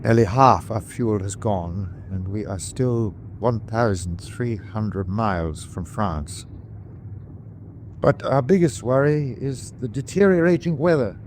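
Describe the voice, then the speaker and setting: Wartime U-boat captain, formal, clipped, dutiful but weary, radio drama, noisy vessel background. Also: male voice, lowish voice, slow pace, darkish tone. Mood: sober, reporting.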